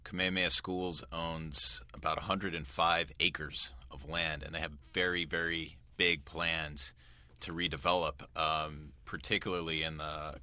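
Deep, very bright-voiced male reporter. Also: level tone, a little slow, no echo. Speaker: news reporter. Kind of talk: informal, explanatory radio conversation.

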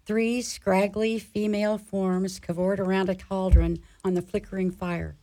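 A woman's voice: medium pitch, little pitch movement, a little slow, dark tone. A woman reading scripted scene titles and stage directions as narrator of a radio drama.

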